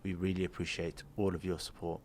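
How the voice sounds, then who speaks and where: A male podcast co-host with a very low, mellow voice introduces his guest. He keeps the talk moving with eager, quick questions. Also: little pitch movement, a little hiss or hum. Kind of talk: casual interview chat.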